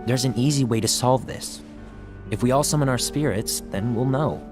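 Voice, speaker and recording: An actor playing a detached, calculating apprentice in an animated fantasy film, smug and understated over music. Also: male voice, mid-range voice, normal speed, close to an everyday voice. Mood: cool, knowing. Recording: dramatic music behind the voice.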